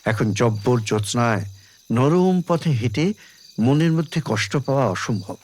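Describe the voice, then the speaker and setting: A man reading literary fiction as dramatic audiobook narration, measured, with deliberate pauses between phrases. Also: medium pitch, lively intonation, darkish tone. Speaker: story reader.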